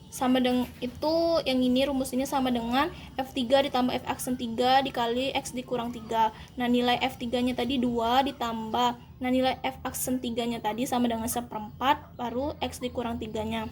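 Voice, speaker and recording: A young woman, a university student, working through calculus problems step by step, pausing to correct herself. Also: on the high side, flat delivery, darkish tone, a little hiss or hum. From Indonesia. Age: young adult. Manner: methodical, step-by-step, self-correcting. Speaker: university student explaining homework. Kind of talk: calculus walkthrough.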